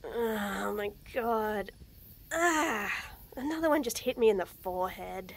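A young woman voicing a shy, nervous tomboy friend in a romantic roleplay audio, stammering, giggling and speaking softly. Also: medium pitch, expressive, takes it slowly, creaky. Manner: stammering, giggly, soft-spoken. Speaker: voice actor.

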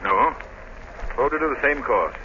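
An actor playing a cool, inquisitive, wealthy gentleman in polished 1930s radio drama style. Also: mid-range voice, lively intonation, normal speed. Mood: cool, probing. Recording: dull, noisy 1930s radio recording.

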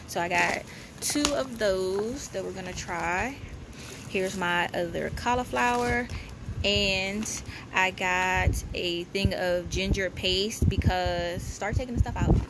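A woman vlogger narrating a haul casually at home, in a matter-of-fact, halting way as she lists items. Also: medium pitch, noisy background. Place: indoors at home, carpeted room.

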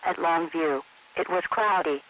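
High, stilted male weather radio announcer. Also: a little slow, a bit bright. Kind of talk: scripted readout of weather observations. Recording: muffled, hissy weather radio broadcast.

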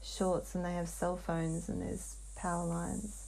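A woman describing a trance vision in a dreamlike way as a guided hypnosis client, halting and hushed, with long pauses. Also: on the low side, flat delivery, vocal fry, poor audio.

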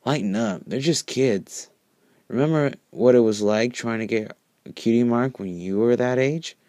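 A man's voice: medium pitch, moderate pace, mellow, no room sound, studio quality, natural voice. A man voicing an easygoing, cheeky female unicorn in a casual, breezy way for a story reading. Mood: breezy, teasing.

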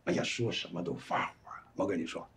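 A man's voice: low-pitched, lively intonation, fast, creaky, a bit bright, a little hiss or hum, exaggerated voice. An older man acting in a TV drama as an exasperated, stubborn elderly husband who grumbles and repeats himself. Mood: grumbling. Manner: grumbling, repetitive, pleading. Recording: echoey room, faint background music.

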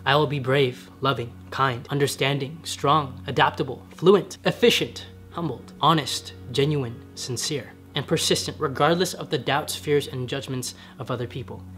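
A young man with a slightly raspy voice, talking earnestly to camera in a casual vlog from his home garage. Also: medium pitch, moderate pace, few pauses, fairly bright tone, faint music behind. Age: young adult. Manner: earnest, gesturing with his hands while sitting on the floor. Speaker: vlogger. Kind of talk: casual spiritual vlog talk. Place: home garage, medium-sized, indoors.

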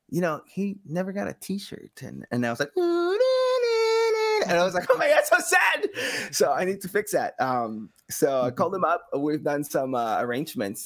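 A man in his thirties chatting casually and expressively as an interview guest, often acting out little imagined exchanges. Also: on the high side. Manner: expressive, acts out imagined quotes. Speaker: podcast guest, engagement director and sound designer. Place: home room, indoors.

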